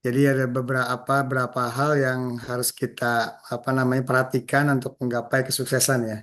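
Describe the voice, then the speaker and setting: A hoarse man, chair of an association, speaking hesitantly with many fillers on a video call. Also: medium pitch, little pitch movement, fairly quick, fairly bright tone.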